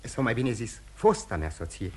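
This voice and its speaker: An actor playing a remorseful, courteous, dissolute gentleman husband in theatrical radio drama style, candid and halting with repetitions. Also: mid-range voice, huge pitch swings. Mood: earnest, candid. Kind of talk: mid-century Romanian radio theatre acting.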